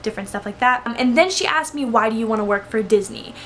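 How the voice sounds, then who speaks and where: Young woman, highish pitch, rapid pace, informal rambling advice, casual vlogger delivery. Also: a bit bright, slight background noise. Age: young adult. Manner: rambling, informal, heavy use of "like". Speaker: vlogger giving interview tips. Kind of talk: casual chatty storytime and advice.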